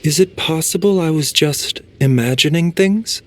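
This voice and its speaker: A man's voice: on the high side, moderate pace, over music, natural voice. Earnest young male traveler hero, played by an actor. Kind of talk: video game character acting.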